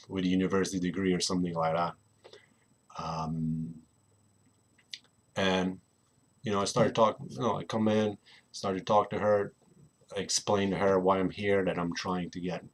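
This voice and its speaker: A man's voice: sits low, breathy edge. A young man from Slovenia with a Slovenian accent, chatting casually to a webcam at home and rambling with lots of "you know what I mean". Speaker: vlogger. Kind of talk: casual personal chat to camera. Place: home room in England.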